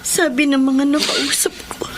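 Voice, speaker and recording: A woman's voice: sits high, fairly even intonation, moderate pace, very noisy, lightly acted. A woman playing a sorrowful, pleading young mother in a tearful voice in a Filipino radio drama.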